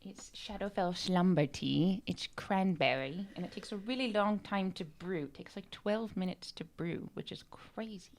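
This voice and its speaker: A woman's voice: medium pitch, moderate pace, hardly any pauses. An American woman co-hosting a podcast in casual chat, with a wry, joking and self-deprecating manner. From United States. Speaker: podcast co-host and co-writer.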